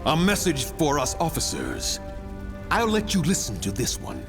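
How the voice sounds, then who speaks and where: An actor voicing a gloating, menacing military officer villain in a video game, with a taunting, sardonic manner. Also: male voice, fairly high pitch, huge pitch swings, moderate pace, over music. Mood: smug, taunting. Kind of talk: scripted video game acting.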